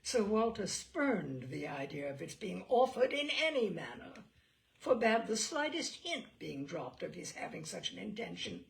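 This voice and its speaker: A woman narrating literary fiction with a very animated, swooping intonation. Her voice often drops into a creaky fry. She reads in a measured, storytelling way, stressing some phrases and hushing others. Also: medium pitch, rough recording. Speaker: audiobook reader and storyteller. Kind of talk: scripted literary narration, reading a classic novel aloud.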